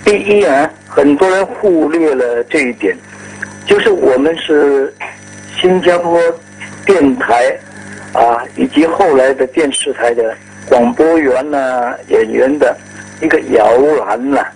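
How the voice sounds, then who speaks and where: An older man, speaking reflectively and deliberately in short phrases in an interview. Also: medium pitch, darkish tone, slight background noise, poor audio. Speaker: veteran broadcaster interviewed.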